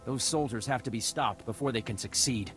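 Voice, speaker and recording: Game role, male actor: worried ship's officer, man, medium pitch, earnest and grave, faint score behind. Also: brisk, a bit bright, faint music behind, lightly acted. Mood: grim. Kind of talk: scripted sci-fi video game acting.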